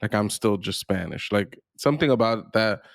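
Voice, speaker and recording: A man with a fairly low voice and some fry talks casually as a podcast host. He feels his way through thoughts haltingly, and the sound is close and dry. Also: animated, no room sound. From United States.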